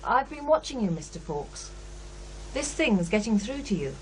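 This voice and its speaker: Woman acting a female psychiatrist, radio play, sceptical, thoughtful, expressive intonation, faint background hum. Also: medium pitch, moderate pace, natural voice, low-quality recording. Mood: pointed, brisk. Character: brisk, candid female psychiatrist, sceptical yet unsettled. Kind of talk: naturalistic radio drama dialogue.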